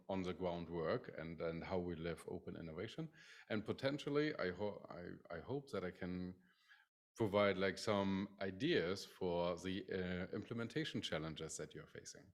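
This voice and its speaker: Middle-aged German-accented man, low voice, professor giving a conference talk, filler-heavy. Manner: many "like" fillers, restarts. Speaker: professor presenting his lab. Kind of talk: conference presentation.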